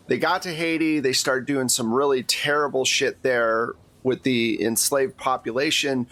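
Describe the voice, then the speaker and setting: A man's voice: mid-range voice, a bit bright. Casual man running a tabletop game. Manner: casual, profane, conversational storytelling. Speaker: game master. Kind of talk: narrating a tabletop role-playing game.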